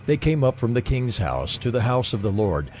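A man's voice: medium pitch, big pitch swings, normal speed, a little mellow, faint music behind. A man narrating scripture from a script as the storyteller of an audio drama.

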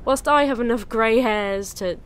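A woman's voice: fairly high pitch, measured pace, clean tone, lightly acted. Woman acting a teasing, blunt middle-aged old friend. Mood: exasperated, teasing. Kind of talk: full-cast audio drama acting.

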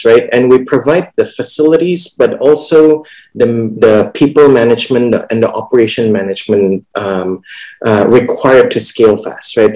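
A man in his thirties explaining his business as a panelist on a video call, halting and repeating words, often ending points with a checking tag. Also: mid-range voice, a little mellow, low-quality recording. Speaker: startup executive, panelist.

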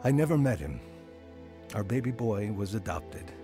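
A man's voice: on the low side, very animated, normal speed. An actor playing a reflective, remorseful man who narrates his own youth in a radio drama. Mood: sorrowful, regretful. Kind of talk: scripted first-person drama narration. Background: music.

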